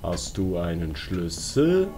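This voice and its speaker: A man's voice: sits low, very animated, slow. A man giving casual, slangy horror game commentary, muttering to himself as he plays. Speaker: let's play streamer. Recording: eerie game music behind voice. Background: horror game music and sounds.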